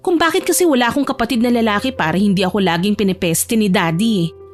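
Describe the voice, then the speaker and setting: A woman's voice: medium pitch, fast talker, faint music behind, lightly acted. A woman voicing a bratty, stubborn young heiress who grumbles sassily in an audio drama. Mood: sassy, grumbling.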